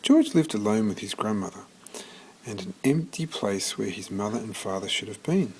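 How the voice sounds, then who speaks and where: A man reading a children's picture book aloud as scripted narration, expressively and with deliberate pauses. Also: on the low side, animated, slight background noise, poor audio. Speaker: storybook reader.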